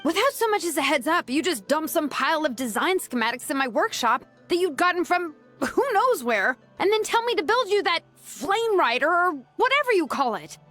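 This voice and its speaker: A woman's voice: very high voice, very animated, normal speed, few pauses, bright, forceful tone, faint music behind, heavily acted. A woman voicing an exasperated, blunt young craftswoman in a video game, scolding with emphasis.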